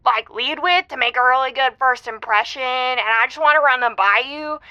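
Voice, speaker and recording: Slightly dull call audio of a smitten young woman phoning a radio show. Her voice is high and bright, earnest and eager, chatting casually in an audio drama. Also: moderate pace, clear, resonant tone, no room sound. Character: keen, earnest, smitten young female caller. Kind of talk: semi-improvised audio drama phone-in.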